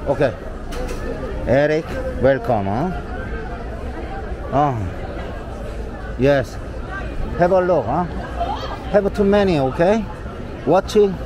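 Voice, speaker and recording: Korean-accented guy walking a night street live, choppy english, lots of "huh?", lively up and down voice, crowd and pop music behind. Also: a little high, noisy background. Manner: short broken English phrases, constant "huh?" to viewers. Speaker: vlogger leading a live walking tour. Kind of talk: live street vlog chatter to viewers. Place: busy city street at night, outdoors. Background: street crowd and loud music.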